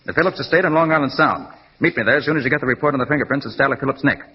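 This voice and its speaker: Casting: male actor as American private eye, fast and sure of himself, clipped delivery, dull vintage radio sound with hiss. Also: medium pitch, close to an everyday voice. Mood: businesslike. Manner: brisk, confident, clipped. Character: brisk, self-assured male private detective. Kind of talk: 1940s radio detective acting. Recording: dull, noisy old radio recording.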